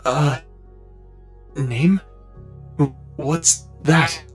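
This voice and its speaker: A man's voice: on the high side, steady tone, faint music behind. A man voicing an innocent, bewildered newborn cyclops with childlike, stammering speech in a fantasy ASMR roleplay. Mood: curious, shy. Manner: stammering, hesitant, simple halting speech.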